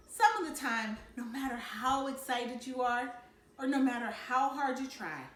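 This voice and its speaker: Higher-voiced woman reading aloud in an echoey room. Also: fairly bright tone, slight background noise. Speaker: storybook reader. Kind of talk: expressive read-aloud of a children's novel.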